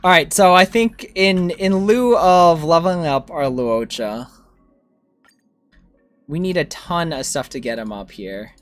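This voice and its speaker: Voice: medium pitch, unhurried, faint music behind. A game streamer chatting casually and informally, thinking aloud and replying to viewers. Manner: informal, animated reactions to chat. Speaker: game livestreamer.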